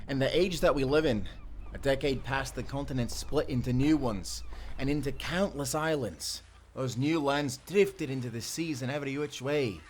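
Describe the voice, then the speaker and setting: A man's voice: a little high, slight background noise. Man playing earnest timberman sailor. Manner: earnest, old-time seafaring speech. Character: kind, principled male timberman sailor of the 1790s. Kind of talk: cinematic audio roleplay.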